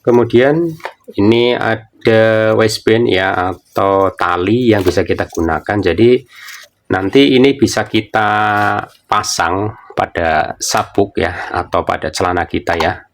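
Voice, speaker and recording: A man's voice: lowish voice, unhurried, a little hiss or hum. A man giving a casual product demonstration, explaining things informally and often repeating himself. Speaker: product reviewer.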